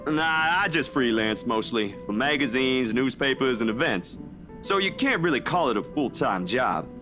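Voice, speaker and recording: A modest, easygoing young man , played by an actor, talks in a middling pitch, as a photographer in a visual novel. Music plays clearly behind his lines. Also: lively intonation, normal speed, natural voice. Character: Zachary, easygoing young male freelance photographer, modest and passionate.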